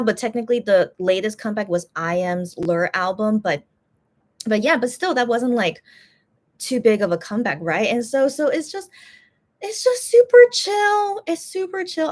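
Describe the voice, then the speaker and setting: A woman speaks in a somewhat high voice, in the informal style of a vlogger. She talks straight to her viewers in casual chat. She often says a phrase again to drive it home. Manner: repeats phrases for emphasis.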